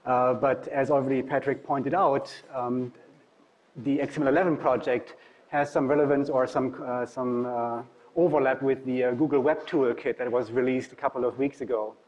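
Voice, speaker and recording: Male academic presenter, German accent, medium pitch, informal lecture delivery. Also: steady tone, normal speed, a little mellow, poor audio. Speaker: university professor, guest speaker. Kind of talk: informal tech talk presentation.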